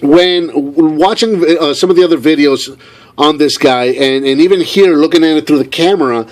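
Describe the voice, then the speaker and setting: A man's voice: a little high, normal speed. A man hosting an unscripted toy review, talking enthusiastically and repeating phrases for emphasis. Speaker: toy reviewer, show host.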